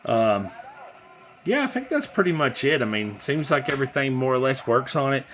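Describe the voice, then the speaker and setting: A man's voice: medium pitch, expressive, moderate pace, a bit bright. A man giving a casual, hands-on product demo, talking informally and in a rambling way as a seller. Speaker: seller demonstrating used radio gear. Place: indoors at a desk with radio equipment. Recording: faint background noise.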